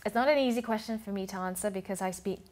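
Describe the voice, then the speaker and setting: A woman's voice: mid-range voice, quick pace, darkish tone. A woman guest on a TV talk show, explaining her views in a thoughtful way with frequent restarts. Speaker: food and travel blogger, guest. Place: TV studio.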